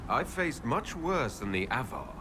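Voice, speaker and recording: Curious elven hero, lively intonation. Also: male voice, mid-range voice. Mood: questioning. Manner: measured, inquisitive, wry. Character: composed, curious elven leader, politely wry. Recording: clear ambient noise behind voice.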